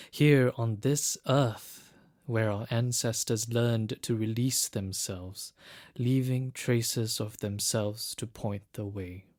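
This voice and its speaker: An actor playing a reflective guide to a lost city in an audio drama, speaking in measured, meditative phrases with pauses. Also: male voice, fairly low pitch. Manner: measured, pausing, short hushed phrases.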